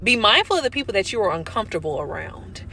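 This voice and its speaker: A woman's voice: mid-range voice, sing-song, fast, over loud music. A woman giving sincere, insistent motivational advice.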